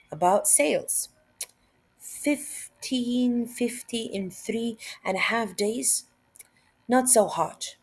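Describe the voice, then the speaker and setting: A woman reads a story aloud as a lesson for children. Her pace is slow and deliberate, at a middling pitch. She stresses key words and adds brief teacherly asides. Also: husky.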